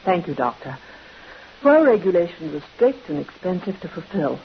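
An actress playing a dutiful, efficient nurse in a vintage radio drama, polite but firm, on a muffled old recording. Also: female voice, fairly low pitch, very animated, normal speed, a little hiss or hum. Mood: businesslike. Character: brisk, bossy, dutiful nurse running a care home. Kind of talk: 1950s radio acting.

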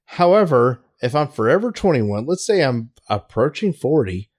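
A man's voice: medium pitch, normal speed. A man in his late thirties chatting casually and swearing freely. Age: 30s. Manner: casual, profane, animated chat. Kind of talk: casual podcast chat.

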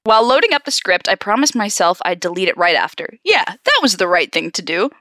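A woman hosting a story-reading show, switching between expressive narration and slangy, sarcastic casual asides. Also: mid-range voice, fairly quick, no room sound, clean studio sound. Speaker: podcast host reading horror stories aloud. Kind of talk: story read-aloud mixed with casual banter.

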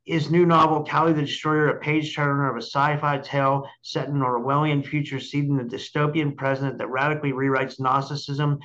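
A male podcast host with a fairly high voice talks in a casual, rambling way, often doubling back on words. He is on a video call with slightly dull, lo-fi sound. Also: little pitch movement, a little fast, hardly any pauses, a bit bright. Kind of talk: casual interview chat. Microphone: remote video call.

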